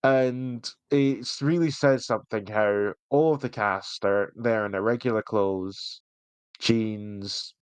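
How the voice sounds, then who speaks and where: A man's voice: on the low side, slow, clean tone, no room sound. A man co-hosting a podcast, giving reviews in a rambling, jokey, casual way. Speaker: podcast co-host.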